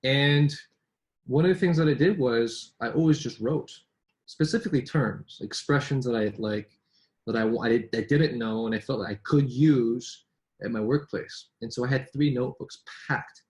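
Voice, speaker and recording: A man's voice: medium pitch, moderate pace, darkish tone. A man, born in New York and raised in Vancouver, talking casually as a business English coach, with frequent restarts and rhetorical questions. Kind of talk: casual conversation between colleagues.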